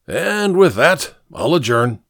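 A man's voice: a little high, sing-song, takes it slowly, creaky, a bit bright, dry recording, clean studio sound, full character voice. A man voicing an old, drunken, wistful scrounger who speaks in florid phrases and stumbles over his words. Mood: genial, melancholy. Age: older.

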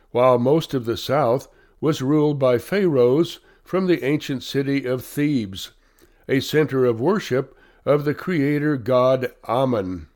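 A male volunteer reader with a cold, reading magazine articles aloud slowly and carefully. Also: mid-range voice, a little mellow. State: has a cold.

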